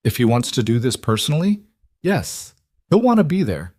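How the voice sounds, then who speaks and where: A man's voice: medium pitch, very animated, moderate pace, darkish tone, no room sound, pitched above the performer's own voice. A guarded young mafia heir in an audiobook thriller, played by a male narrator. He sounds conflicted and reluctant, in a dry recording with no room sound.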